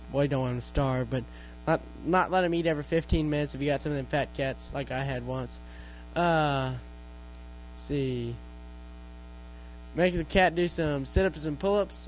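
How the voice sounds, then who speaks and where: A clueless male veterinarian rambles through his advice with plenty of fillers and restarts, as a man plays him. His voice sits somewhat high, and a low mains hum with faint music runs underneath. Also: steady tone, normal speed, natural voice, poor audio. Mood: rambling. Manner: rambling, hesitant, filler-heavy.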